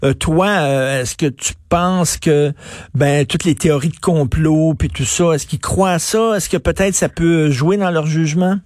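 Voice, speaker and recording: A man's voice: fairly high pitch, unhurried, a little mellow, dry recording, clean studio sound. A man in his fifties with a Quebec French accent, a radio interviewer asking questions in a conversational way with frequent stammered restarts. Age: 50s.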